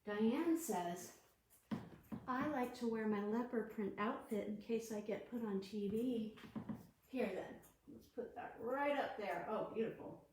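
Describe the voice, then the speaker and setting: A woman children's librarian leading an online storytime, reading and leading movement games in an animated, sing-song way. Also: fairly high pitch, unhurried, a little hiss or hum. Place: library room. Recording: very echoey room.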